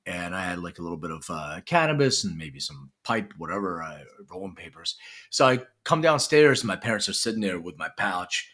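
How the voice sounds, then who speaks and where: Low-voiced man telling his story. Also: brisk. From Lithuania, raised in Philadelphia. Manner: conversational, relaxed storytelling. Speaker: podcast guest. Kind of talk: storytelling interview.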